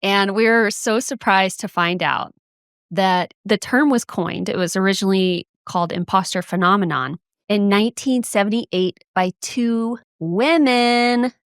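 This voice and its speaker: A woman's voice: mid-range voice, a little slow, clean tone, no room sound, clean studio sound. A woman co-hosting a podcast, friendly and self-deprecating, moving between easy chat and explaining research. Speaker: podcast co-host. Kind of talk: casual chat and reading out research.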